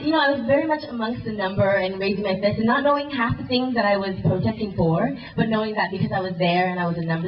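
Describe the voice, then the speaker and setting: A young woman performing spoken word poetry on stage at a live event, with a rhythmic, earnest and introspective delivery. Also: on the low side. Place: indoor event hall. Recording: roomy, distant, muffled live recording.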